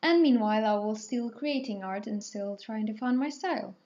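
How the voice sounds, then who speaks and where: A woman's voice: a little high, moderate pace, a little mellow, dull audio. A woman in her twenties, raised in Spain and not a native English speaker, rambling casually with many ums and self-corrections while she talks through her painting. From Portugal, raised in Spain. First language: Spanish or Portuguese. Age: 20s. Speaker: artist, channel host. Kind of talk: casual talkthrough over painting.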